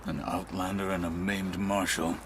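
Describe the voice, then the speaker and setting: Man acting a deep-voiced, stern warrior marshal. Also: flat delivery, moderate pace, background noise, lightly acted. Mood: curt, bitter. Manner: terse, grave, formal. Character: stern, proud maimed warrior marshal, blunt and bitter. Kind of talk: video game cutscene acting.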